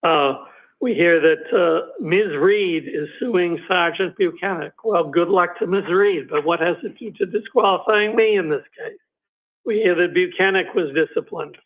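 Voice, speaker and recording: A man's voice: high voice, normal speed. A man arguing as a special prosecutor before a judge, hesitant with many ums and uhs, losing his voice. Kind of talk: courtroom argument. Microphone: video call. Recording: tinny phone-like audio from a video call.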